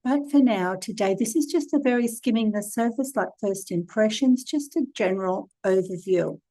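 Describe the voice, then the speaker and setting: A hoarse woman, hosting a casual screen-share tutorial and giving chatty, direct, practical advice. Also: medium pitch, normal speed, warm tone, no echo, low-quality recording. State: hoarse from a cold. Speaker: e-commerce consultant and channel host.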